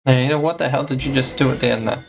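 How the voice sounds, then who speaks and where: A man's voice: mid-range voice, fairly even intonation, racing, creaky. A man streaming a game, giving casual reactions and muttered, joking asides as he plays. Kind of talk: casual reaction commentary over gameplay. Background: game music.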